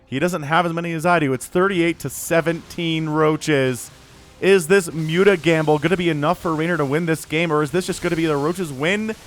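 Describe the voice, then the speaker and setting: A man giving solo play-by-play commentary on a strategy video game, casual and excitable, his voice still a little rough from recovering. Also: a little high, normal speed, faint music behind. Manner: casual, excitable, rises to yelling at big fights. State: voice still recovering, cracking at times. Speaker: StarCraft caster.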